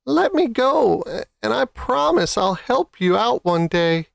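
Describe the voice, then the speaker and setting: A man voicing a tiny, brave and earnest female mouse with a squeaky voice in a children's fable. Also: sing-song, takes it slowly, gravelly, no echo, studio quality, exaggerated voice. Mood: pleading.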